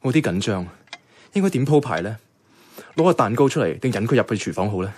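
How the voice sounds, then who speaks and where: An actor playing a self-effacing young bank worker in a radio drama, speaking casual, colloquial Hong Kong Cantonese. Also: male voice, fairly low pitch, expressive, quick pace, a little mellow, husky. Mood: nervous, reflective. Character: soft-hearted, self-effacing young male bank worker, secretly devoted. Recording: music playing behind the voice.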